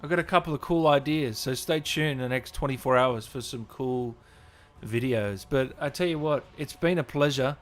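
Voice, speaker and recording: A man's voice: mid-range voice, normal speed. He is a male livestream host with a mid-range voice. He chats casually to his audience as if to friends, in an informal, chummy style. The delivery is unscripted and conversational. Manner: chummy, informal, addressing viewers as guys and brother. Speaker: host of a gaming channel livestream. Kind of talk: casual livestream chat to viewers.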